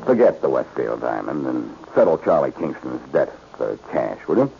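An actor playing a cocky, sardonic, wisecracking amateur detective in a 1940s radio drama. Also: male voice, very deep voice, big pitch swings, moderate pace, gravelly, a little hiss or hum, full character voice. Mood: cool, probing. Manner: wisecracking, needling. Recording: muffled old-time radio recording.

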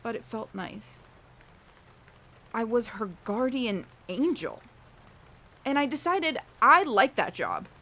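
A woman's voice: on the high side, big pitch swings, slow, pauses often, pushed and bright, full character voice. An actress playing an earnest, lonely, self-deprecating young woman in an audio drama. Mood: earnest, confiding. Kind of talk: audio drama acting, confessional monologue and dialogue.

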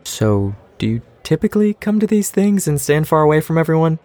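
Audio drama role: friendly young male newcomer, natural, relaxed and gently joking delivery. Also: on the high side, brisk, dry recording. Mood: playful. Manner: casual, lightly joking.

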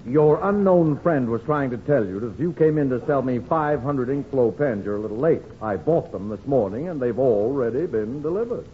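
A man's voice: mid-range voice, animated, normal speed, hardly any pauses, lightly acted. An actor playing an unruffled, politely dismissive businessman in old radio comedy style. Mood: smug. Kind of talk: 1940s radio comedy acting. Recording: tinny, lightly hissy old-time radio recording.

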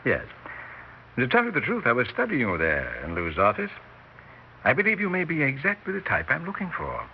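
A man's voice: mid-range voice, wildly swooping pitch, fairly quick. A man playing a suave, conceited English actor with an English accent, pompous and genteel, in 1960s radio drama style. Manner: pompous, genteel, smooth-talking. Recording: dull vintage radio recording with hiss.

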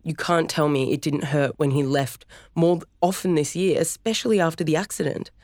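A woman reads an angry young brother in a storybook audiobook, his voice pitched high and hot with accusation. The sound is dry and close, with no room around it. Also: steady tone, fairly quick, a little mellow, dry recording, natural voice. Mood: angry, accusing. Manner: heated, accusing.

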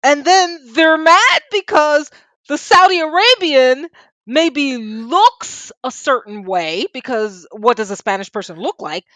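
A woman's voice: high voice, animated, slow, a bit bright, dry recording. A Cuban woman co-hosting a podcast, chatting casually and expressively with frequent exclamations. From Cuba. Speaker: podcast co-host. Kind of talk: casual conversation.

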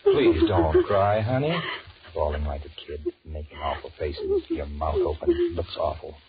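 An actor with an American accent playing a cowardly, irritable cheating husband in a 1940s radio drama, switching between muttered thoughts and halting sweet talk. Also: a bass voice, wildly swooping pitch, a loud crowd, full character voice. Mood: exasperated, placating. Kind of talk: 1940s radio horror acting with inner monologue. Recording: muffled, noisy 1940s radio recording.

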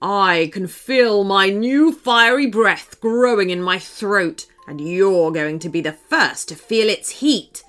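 A woman's voice: medium pitch, fairly bright tone, soft background music. A woman voicing an evil chocolate dragon in a children's story, menacing and sneering as it draws out its words. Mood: threatening. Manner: menacing, sneering, drawn-out delivery.

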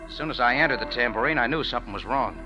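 A man's voice: medium pitch, very fast, lightly acted, rough recording. Hard-boiled radio narrator played by a man, music behind. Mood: hard-boiled, narrating. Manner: hard-boiled, clipped, sardonic. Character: tough, wry, unruffled male cafe owner narrating his adventures. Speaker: lead actor and narrator. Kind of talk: 1940s radio acting, scripted narration.

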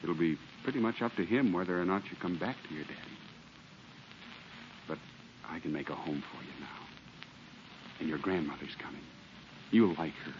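A man's voice: low voice, normal speed, pauses often, natural voice. An American actor playing an earnest, grateful young father in a 1940s radio drama. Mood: hopeful. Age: young adult. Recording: muffled, noisy 1940s radio recording.

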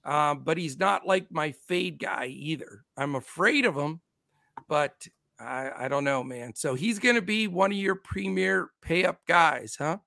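A man with a gravelly, fraying voice runs through numbers at a chatty clip. His voice sits a bit high, and the sound is dry and close. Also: pushed and bright, no echo. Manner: rattles off numbers quickly, chatty. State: losing his voice. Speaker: podcast host. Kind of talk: casual sports betting podcast talk.

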